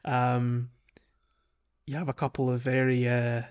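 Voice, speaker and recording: A man co-hosting a podcast, chatting casually about a video game in a halting way, often restarting his sentences. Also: medium pitch, level tone, darkish tone, clean tone, dull audio. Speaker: podcast co-host.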